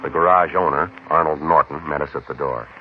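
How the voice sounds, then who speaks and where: Terse, businesslike radio cop: deep, rapid, clipped delivery, on a dull, hissy 1950s recording. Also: male voice, fairly even intonation. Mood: factual, clipped. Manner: clipped, matter-of-fact, polite. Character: terse, businesslike male police detective. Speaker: detective narrator and lead. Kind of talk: 1950s radio drama, documentary-like scripted narration.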